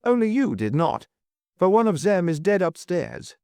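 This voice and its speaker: A man's voice: medium pitch, lively intonation, normal speed, no echo, clean studio sound, voice pushed up, natural voice. A man voicing an anguished foreign hotel proprietor in a storybook, breathless and stammering in broken English. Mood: shocked.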